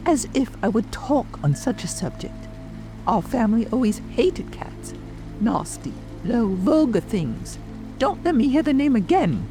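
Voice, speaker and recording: A woman plays a huffy storybook mouse, high and shrill, with very lively swoops of pitch. The creature is passionate, haughty and quick to take offence. Soft music and rain run behind the voice. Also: poor audio. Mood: indignant. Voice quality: shrill, trembling. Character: touchy, indignant, easily offended mouse in a storybook. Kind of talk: dramatic audiobook character reading. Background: music, rain ambience.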